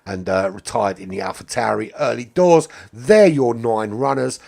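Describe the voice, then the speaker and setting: Hoarse, chatty British race commentator. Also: male voice, mid-range voice, normal speed, a little mellow. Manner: chatty, rambling, swears casually. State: hoarse, losing his voice. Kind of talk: live sim racing commentary.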